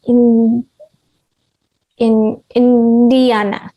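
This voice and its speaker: A woman reading the part of a young Vietnamese woman recalling her childhood, earnest and halting. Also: a little high, little pitch movement, warm tone, dry recording, soft background music, rough recording. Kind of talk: table read of a drama script.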